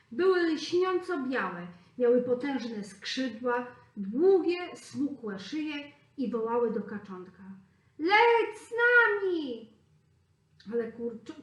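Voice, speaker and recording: A woman reads a fairy tale aloud for small children, slow and expressive. Her voice sits a little high. The sound is roomy, with a clear echo. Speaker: preschool teacher.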